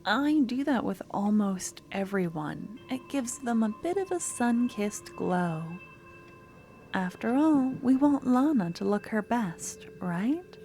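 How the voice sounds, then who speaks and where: Woman acting a slow, soft, sweetly obsessive young woman. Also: a little high, big pitch swings, faint music behind, heavily acted. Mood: soft, instructive. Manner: soft, coaxing, slightly awkward and hesitant. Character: sweet, obsessive young female stalker, an embalming student. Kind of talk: ASMR audio roleplay, addressing the listener.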